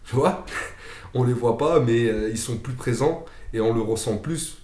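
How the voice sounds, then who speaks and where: A man's voice: mid-range voice, measured pace, mellow. A young man from France talking casually, with many hesitations and fillers. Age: young adult. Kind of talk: casual testimony. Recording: echoey room.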